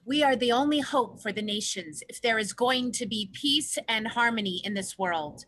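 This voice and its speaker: Female orca leader woman actor: forceful, higher voice, earnest and solemn, declaiming hope in a play reading. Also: moderate pace, slight background noise, lightly acted. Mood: earnest, urgent. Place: home room, video call.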